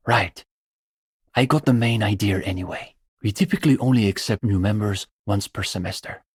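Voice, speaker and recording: Earnest, pretentious student radical, husky voice. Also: male voice, on the low side, dry recording, studio quality. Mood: politely welcoming. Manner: bookish, opinionated, academic phrasing. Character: earnest, pretentious young male communist student intellectual. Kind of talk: video game character dialogue.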